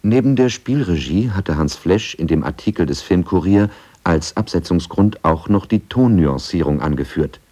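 A man's voice: deep voice, expressive, normal speed, warm tone, slightly rough. A man narrating a scripted radio documentary feature.

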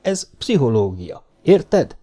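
A man's voice: mid-range voice, sing-song, mellow. Man playing a confident, self-satisfied husband. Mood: smug, persuasive. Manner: confident, explanatory.

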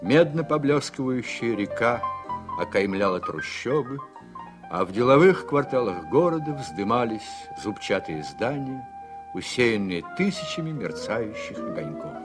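A man giving measured, literary narration for a radio play. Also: medium pitch, huge pitch swings. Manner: measured, descriptive. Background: music.

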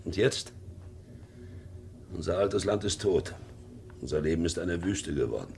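A domineering, middle-aged sea captain with a deep, gravel-edged voice. His delivery swings widely in pitch as he blusters, mocks and commands like a proud father. It is 1950s radio drama acting, with faint recording noise. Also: animated, measured pace, darkish tone, exaggerated voice. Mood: brooding.

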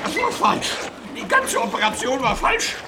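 An actor playing an irascible, indignant naval commander who barks orders in a radio play. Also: male voice, extremely high pitch, huge pitch swings, normal speed, heavily acted. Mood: indignant, agitated. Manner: blustering, barking orders. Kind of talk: German radio play acting. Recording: noisy, distant radio drama sound scene.

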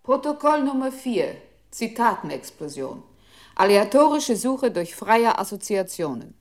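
A woman's voice: fairly high pitch, sing-song, unhurried, darkish tone. A woman voicing a computer's control unit in a radio play, giving clipped, impersonal commands and announcements. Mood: curt, impersonal. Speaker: announcer of program commands and author names.

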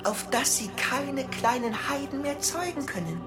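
A woman's voice: high-pitched, expressive, a little slow, over loud music. An actress playing a sinister, fanatical female tempter in an audio drama, insistent and zealous.

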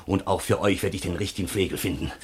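Pompous, aggrieved rogue: deep, heavily rasping, declamatory, classical radio comedy. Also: male voice, fast talker. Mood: bitter, defeated. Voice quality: husky, rough. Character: aggrieved, pompous scoundrel sounding the alarm.

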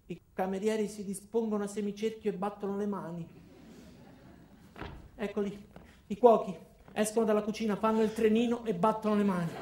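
A man narrating a first-person comic monologue as an actor, in a deadpan, terse manner. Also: sits high, fairly quick, long pauses, roomy sound.

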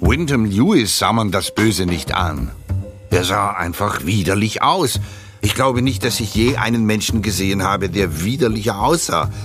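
A low-voiced man an actor recites literary insults in a measured, biting way, like a scripted reading. Faint music plays behind him. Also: expressive, natural voice. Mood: dry scorn. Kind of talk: scripted literary recitation, quote then author's name.

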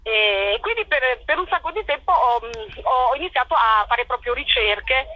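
A woman answering interview questions as a playwright and performer, in a colloquial, animated storytelling way. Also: high-pitched, moderate pace, dull audio.

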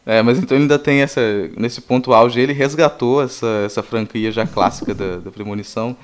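Male podcast host, Brazilian accent, mid-pitched voice, halting explanatory delivery in informal film discussion.

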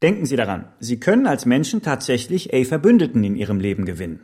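Radio drama: male actor as didactic, patronizing management trainer, animated melody, light music behind. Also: medium pitch, quick pace, lightly acted. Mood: instructive. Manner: didactic, rhetorical, peppered with business English. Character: slick, patronizing business pitch coach. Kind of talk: instructional lecture in radio drama.

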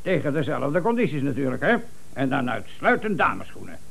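A man's voice: mid-range voice, big pitch swings, brisk, gravelly, a bit bright, full character voice. An actor playing a blunt, self-satisfied businessman, a shoe buyer, in a comic radio play. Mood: pleased. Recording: 1970s radio play recording.

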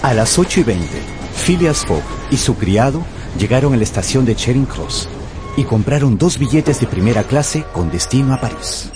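A man's voice: mid-range voice, sing-song, moderate pace, over music. A man narrating a radio novel as a scripted, dramatic storyteller.

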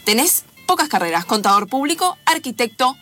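A woman's voice: sits high, big pitch swings, a little fast, bright, forceful tone, faint music behind, full character voice. A woman with an Argentine accent playing an earnest, insistent young woman calling her close friend in an improvised radio drama, speaking emphatically and repeating herself. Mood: insistent, strict.

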